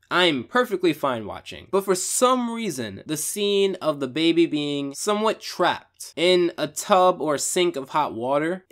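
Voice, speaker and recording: Male review host, voice a touch high, scripted countdown narration, critical and opinionated. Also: a bit bright. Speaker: host of a cartoon review show.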